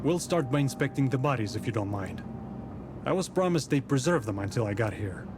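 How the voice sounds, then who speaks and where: An actor playing a composed, authoritative nineteenth-century detective with a polite, formal, measured way of talking in a visual novel. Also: male voice, medium pitch, lively intonation, noisy background, close to an everyday voice. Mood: businesslike, probing. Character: composed, courteous, authoritative 19th-century male detective from the capital. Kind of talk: scripted visual novel voice acting.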